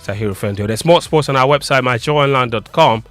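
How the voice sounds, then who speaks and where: A man reading a radio sports bulletin, crisp with small stumbles and chuckles. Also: medium pitch, fast, fairly bright tone, faint music behind. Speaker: sports presenter.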